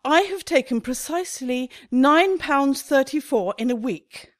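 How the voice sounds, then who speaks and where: Woman acting a high-pitched, highly strung shop owner. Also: animated, measured pace. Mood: anxious, flustered. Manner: agitated, melodramatic. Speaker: actor in a radio play. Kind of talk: radio comedy acting.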